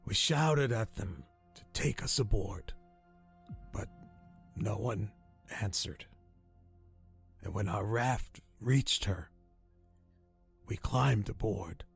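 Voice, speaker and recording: An actor playing a dying old castaway in a radio drama, weak and haunted, telling his story in halting, solemn, old-fashioned phrases. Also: lowish voice, exaggerated voice. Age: older. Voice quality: weak, raspy, breathy.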